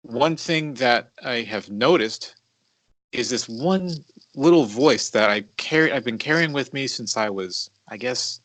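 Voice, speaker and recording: A man in his thirties with a mid-pitched voice, recorded dry and close. He co-hosts a podcast, speaking in a halting, confessional style and circling back on phrases for emphasis. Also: animated, fairly bright tone, no echo. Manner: halting, deliberate delivery with emphatic repetition. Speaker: podcast co-host. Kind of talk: reflective, confessional conversation.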